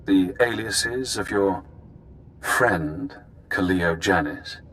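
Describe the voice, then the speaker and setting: An actor playing a sinister, calculating engineered prisoner in a sci-fi video game, cool and measured. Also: male voice, low-pitched, a bit bright, slight background noise, heavily acted. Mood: sly, calculating.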